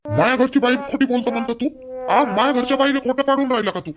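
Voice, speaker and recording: A man plays a stern village father from a cartoon, exasperated and scolding. The voice sits high for a man and has a Vidarbha Marathi accent. Questions come again and again, over faint music. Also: fast. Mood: angry scolding. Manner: scolding, repeats rhetorical questions.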